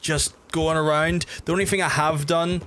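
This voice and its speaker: A man with a softened Belfast accent, chatting casually and rambling as he streams a game, swearing freely. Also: on the high side, fairly even intonation, normal speed, a little hiss or hum. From Belfast, Northern Ireland. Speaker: live streamer. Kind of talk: casual gameplay commentary and chat with viewers.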